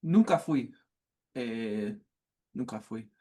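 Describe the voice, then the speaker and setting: Male beginner learner, halting, slow speech. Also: medium pitch, animated, dark tone. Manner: hesitant, with many fillers and pauses. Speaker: language learner being interviewed. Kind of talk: casual interview answers.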